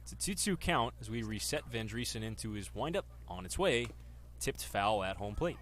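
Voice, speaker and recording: A man's voice: fairly low pitch, expressive, moderate pace, a bit bright. A man in his twenties calling a baseball game live as a radio play-by-play announcer. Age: 20s. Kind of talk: live sports commentary.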